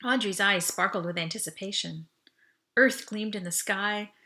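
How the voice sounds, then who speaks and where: A woman reading an audiobook aloud in expressive first-person story narration. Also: mid-range voice, moderate pace.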